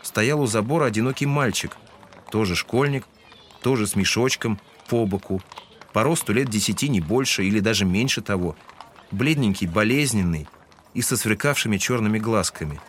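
A man's voice: lowish voice, expressive, moderate pace, a little hiss or hum. A man giving scripted literary narration in an audio drama. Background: music.

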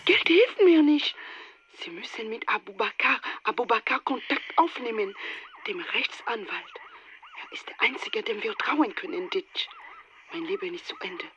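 A woman's voice: on the high side, expressive, normal speed, a bit bright, highs cut off, a little hiss or hum. An actress playing a desperate Nigerian mother in a radio play, pleading urgently and dropping into whispers. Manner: breathy whispers breaking into urgent pleas.

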